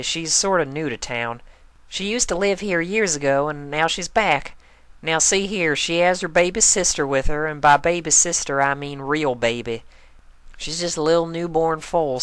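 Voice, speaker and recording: A woman's voice: sits low, fairly bright tone, smooth, no echo, voice pushed up. A woman voicing a friendly, forthright young farm mare in a cartoon story, with a Southern US accent. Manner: folksy, forthright.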